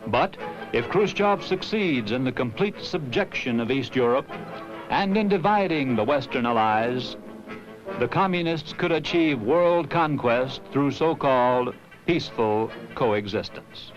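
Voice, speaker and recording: An American man narrating a 1960s promotional film in a measured, solemn, emphatic way. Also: mid-range voice, animated. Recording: dull vintage film soundtrack. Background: music.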